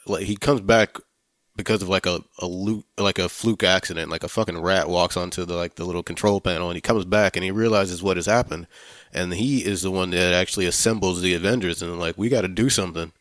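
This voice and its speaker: A man hosting a casual film podcast, talking in a halting way, searching for words and swearing freely. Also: deep voice, steady tone, a bit bright, no room sound, clean studio sound. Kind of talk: casual movie review chat.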